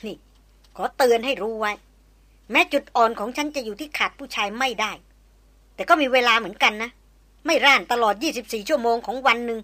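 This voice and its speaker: A woman reading the part of a cool, sharp-tongued woman adventurer in an audiobook. She speaks slowly, crisply and formally, keeping a cold edge. The voice is bright and dry. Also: on the high side, animated, dry recording. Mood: icy.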